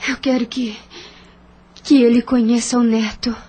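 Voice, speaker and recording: An actress in an audio drama playing a weak, dying young mother, tender but resolute, speaking haltingly with a breathy, faint voice. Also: on the high side, level tone, warm tone. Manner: halting, slow, earnest.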